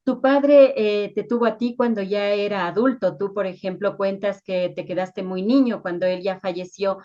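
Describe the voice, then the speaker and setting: A woman's voice: mid-range voice, steady tone, moderate pace, dark tone, lo-fi sound. A woman interviewing in a conversational, affirming and discursive way.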